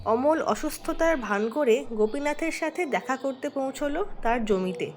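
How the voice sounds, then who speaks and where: A woman's voice: high voice, lively intonation, moderate pace. A woman narrator telling a scripted cartoon village story.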